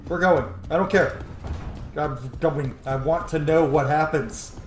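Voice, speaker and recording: A man giving casual, excitable game playthrough commentary, reacting aloud and swearing freely. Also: on the high side, measured pace, echoey room. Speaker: gaming streamer.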